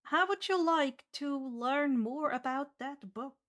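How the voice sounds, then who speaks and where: Female game master, high voice, English as a second language, tells the story to her players. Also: slow, a bit bright. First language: not English. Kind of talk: narrating a tabletop fantasy game.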